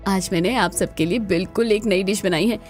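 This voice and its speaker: A woman voicing the women of a cartoon household with an expressive, theatrical delivery. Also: medium pitch, fairly quick, soft background music, close to an everyday voice. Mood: pleased. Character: women of a cartoon household, scheming young daughter-in-law and exasperated elders. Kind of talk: dramatised cartoon dialogue.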